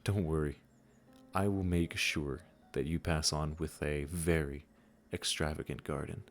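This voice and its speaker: A man playing a gentle, wise supernatural gardener in a comforting audio roleplay, speaking soothingly and reassuringly straight to the listener. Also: extremely low pitch, steady tone, faint music behind. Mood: reassuring, fond. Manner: soothing, unhurried, reassuring, with soft chuckles.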